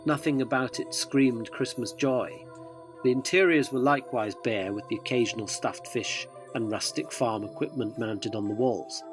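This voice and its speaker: A sceptical male interviewer, played by an actor, narrates a radio drama in the first person. His voice is mid-pitched, his phrasing wry and wordy. Music plays softly behind him. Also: breathy edge, dry recording, close to an everyday voice.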